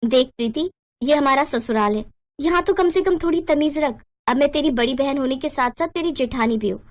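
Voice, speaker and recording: Woman playing a bossy, high-voiced elder sister-in-law. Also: a little fast, close to an everyday voice. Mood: scolding. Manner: stern, snappish.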